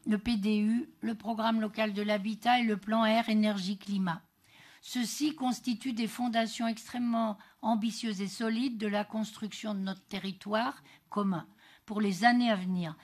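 A woman with a French accent from France delivers a formal, prepared political speech as an elected councillor, in a measured manner with occasional stumbles. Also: fairly high pitch. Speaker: elected metropolitan councillor, group co-president.

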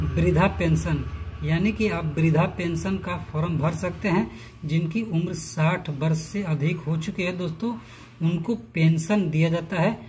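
A man's voice: sits high, a little mellow, slight background noise, rough recording. A man presenting an informal step-by-step tutorial, instructing listeners in a friendly-address style. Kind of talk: informal explanatory tutorial narration.

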